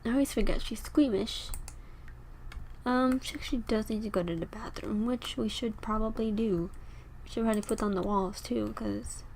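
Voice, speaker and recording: A young woman with a slightly raspy, tired voice chatting casually as she plays a life-sim game, rambling and talking to her characters. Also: a little high, moderate pace, a little mellow, slight background noise. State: tired, sore throat. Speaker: gameplay video host. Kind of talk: casual gameplay commentary.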